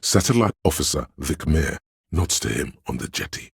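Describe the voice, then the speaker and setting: A man with a gravelly, husky voice, narrating a video game in a measured, solemn, literary and dramatic style. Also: rumbling low, sing-song, no room sound, clean studio sound. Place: close, dry studio.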